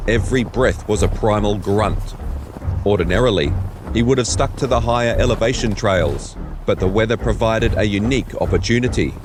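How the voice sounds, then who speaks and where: Male narrator, lowish pitch, scripted storytelling, audio drama, faint ambient noise behind. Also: normal speed.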